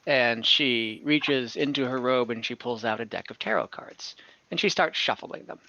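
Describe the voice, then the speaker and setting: A man narrating scenes as game master of an online tabletop game, halting often and restarting with fillers as he describes what happens. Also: mid-range voice, pushed and bright, dull audio, a little hiss or hum.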